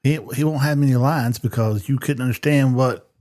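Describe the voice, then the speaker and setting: A man's voice: medium pitch, moderate pace, a little mellow. Male co-host, middle-range voice, casual unscripted conversation. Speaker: podcast co-host. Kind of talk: casual chat.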